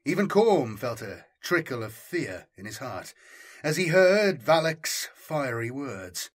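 A man narrating a scripted story as an audiobook, in a measured, solemn and dramatic way. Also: medium pitch, very animated.

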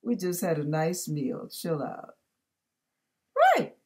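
Slow-talking older woman giving devotional talk. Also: low voice, darkish tone. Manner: emphatic, conversational, asks rhetorical questions. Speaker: online ministry host. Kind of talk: informal devotional talk to camera. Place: furnished room at home.

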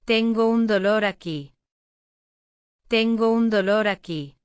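A woman's voice: medium pitch, slow pace, smooth, no room sound. A woman reading short phrases aloud twice each for a language lesson, with careful enunciation into a close mic. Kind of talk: slow repeated phrase reading.

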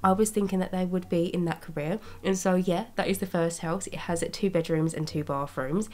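A young woman with a slightly raspy voice from a cold, chatting casually as she narrates her video-game building. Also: fairly low pitch, fairly even intonation, a little fast, a little mellow. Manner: chatty, rambling, with small laughs. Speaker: Sims speed-build YouTuber. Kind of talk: casual commentary over gameplay. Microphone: voice-over recorded separately from gameplay.

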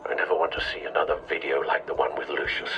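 A man's voice: a bass voice, fairly even intonation, fast talker, highs cut off. A man acting a serious, determined ally speaking over comms in a video game, clipped in manner.

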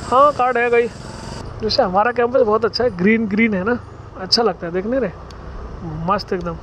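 A man's voice: sits high, a little fast, a bit bright. A man in his thirties vlogging casually while riding a scooter through city traffic, chatting to viewers as he rides. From India. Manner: chatty, addresses viewers as guys. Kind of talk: casual moto vlog commentary. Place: riding a scooter on city roads, then indoors at an office. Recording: traffic noise of a scooter ride.

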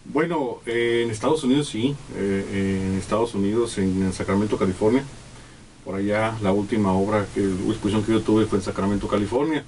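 Un hombre de mediana edad de México, invitado en una entrevista de radio, que habla con muchas pausas y muletillas. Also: male voice, lowish voice, a little mellow. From Mexico. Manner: halting, many fillers and restarts. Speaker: guest artist. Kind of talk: casual radio interview. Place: small radio studio. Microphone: desk studio mic, sitting back. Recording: distant from mic, faint room noise.

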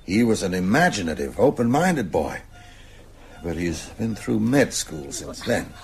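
An actor playing a thoughtful, firm and cautious schoolteacher in a radio drama. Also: male voice, fairly low pitch, sing-song, a little slow, gravelly, slight background noise, heavily acted. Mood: measured.

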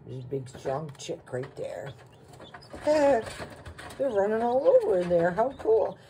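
A woman's voice: medium pitch, very animated, measured pace, dark tone. A plain-spoken, folksy woman giving casual home-video narration on a noisy phone recording. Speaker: farm vlogger.

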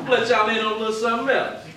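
A man's voice: extremely high pitch, heavily acted. A middle-aged man on stage playing a swaggering, intimidating drug boss and father, speaking in an emphatic, projected way. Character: menacing swaggering drug boss and father, testing his crew. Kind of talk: stage play acting. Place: indoor theater stage. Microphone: far camera. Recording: echoey theater stage, distant mic, noisy.

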